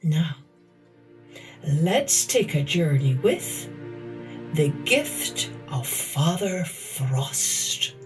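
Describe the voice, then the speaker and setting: A woman narrating scripted stories for children in a measured, deliberate way with pauses. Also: low-pitched, very animated, soft background music. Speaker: podcast host and storyteller.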